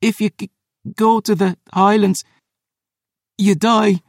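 A man voicing a gravely wounded, defiant woman warrior with a Scottish accent, halting and short of breath in an audiobook. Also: sits high, little pitch movement, no echo, clean studio sound, pitched above the performer's own voice. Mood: agonised, sorrowful. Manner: halting, broken by pain. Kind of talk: audiobook character voice.

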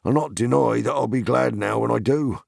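A man voicing an old male hobbit farmer who talks in a gruff, hearty country dialect. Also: mid-range voice, fairly quick, no room sound, studio quality, voice pushed up.

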